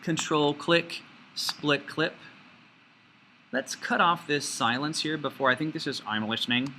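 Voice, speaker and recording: A man giving informal step-by-step software instruction as a teacher, with folksy, playful phrasing. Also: on the high side, measured pace, a little hiss or hum. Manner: folksy, playful asides and sound words.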